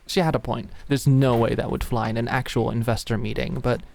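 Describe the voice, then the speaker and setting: A woman's voice: extremely low pitch, steady tone, fairly quick, vocal fry, darkish tone. A woman playing a cocky, adoring young British businesswoman in a scripted audio roleplay, smug and teasing. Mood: smug, confident. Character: Alex, cocky, adoring young queer businesswoman celebrating with fiancée.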